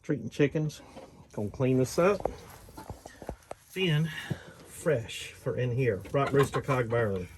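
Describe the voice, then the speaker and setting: Folksy man with clear background noise. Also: mid-range voice, expressive, takes it slowly, long pauses. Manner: folksy, rambling, slangy. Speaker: host of a garage and farm vlog. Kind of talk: casual vlog chat, off the cuff. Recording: clearly noisy ambient background.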